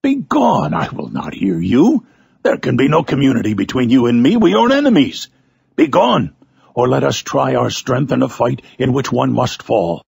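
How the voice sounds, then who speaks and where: Guy acting a furious old-time scientist from a gothic story, raspy, theatrical, really animated pitch. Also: normal speed, dry recording, studio quality, exaggerated voice. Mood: furious, defiant. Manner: vehement, theatrical, archaic. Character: horrified, furious male scientist cursing his creation. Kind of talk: dramatic reading of a Gothic novel.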